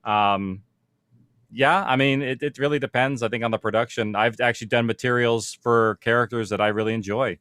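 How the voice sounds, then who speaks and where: A man talks in an informal, conversational way, as a producer presenting on a panel. He works through practical points as if thinking aloud. His voice has a middling pitch and is recorded very dry, with no room sound. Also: steady tone, fairly quick, fairly bright tone, smooth, dry recording. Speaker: audio drama producer, panel speaker.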